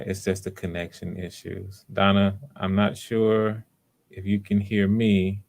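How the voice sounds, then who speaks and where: Low, creaky-voiced male livestream host. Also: darkish tone. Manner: encouraging, informal, hesitant as he improvises. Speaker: audition host. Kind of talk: casual livestream hosting.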